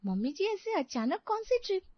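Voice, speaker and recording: A woman voices a young working mother in a family tale. Her high voice is anxious and full of complaint. The sound is dry and slightly muffled. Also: very animated, normal speed, no echo. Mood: shocked. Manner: protesting, incredulous.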